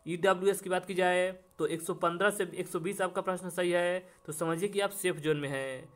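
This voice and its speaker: A man's voice: high-pitched, fairly even intonation, brisk, fairly bright tone. A man hosting a coaching channel, explaining exam information step by step and addressing students directly in a repetitive way. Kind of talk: explanatory exam update presentation.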